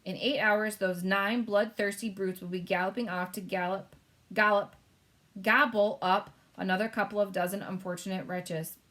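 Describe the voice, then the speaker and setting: A woman playing a calm, firm queen in a storybook read-aloud. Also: medium pitch, moderate pace, close to an everyday voice. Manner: firm and composed.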